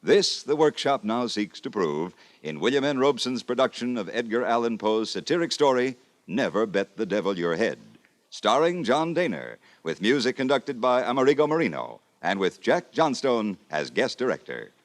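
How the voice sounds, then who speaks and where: A man giving a formal, measured and dramatic scripted introduction as an old-time radio announcer. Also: medium pitch, big pitch swings.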